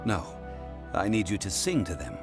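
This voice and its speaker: Learned game gentleman: low voice, expressive intonation, formal, composed, measured explanations. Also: exaggerated voice. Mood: composed, instructive. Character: refined, knowledgeable, composed gentleman scholar of old traditions. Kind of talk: video game voice acting. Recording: music behind the voice.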